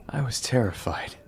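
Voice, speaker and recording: A young man playing a grieving adopted warrior, speaking quietly and earnestly. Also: lightly acted.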